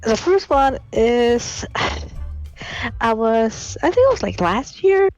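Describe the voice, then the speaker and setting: A woman's voice: on the high side, sing-song, slow, a little mellow, highs cut off, faint music behind. A woman in her late teens calling in to tell an embarrassing story, giggling and rambling with many restarts. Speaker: caller. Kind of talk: casual confession story.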